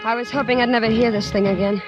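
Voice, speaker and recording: A woman's voice: fairly high pitch, fairly even intonation, fast talker, muffled recording, lightly acted. An actress in 1940s radio style playing an anxious, grieving woman who fears a voodoo curse. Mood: anxious, uneasy. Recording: vintage radio broadcast with music and crowd.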